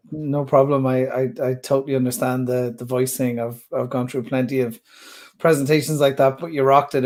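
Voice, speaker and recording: A man's voice: mid-range voice, a little mellow. Chatty man hosting a webinar. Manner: chatty, enthusiastic, stumbles on words. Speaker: user group host. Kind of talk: casual webinar Q&A moderating. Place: home office, indoors.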